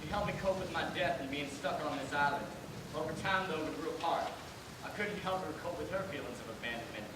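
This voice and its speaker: A man's voice: fairly high pitch, fast talker, very echoey, close to an everyday voice. A man playing the angry, lovesick ghost of a Confederate soldier in a theatrical, declamatory staged reading. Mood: pleading. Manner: declamatory, emotional. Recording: very noisy, distant microphone.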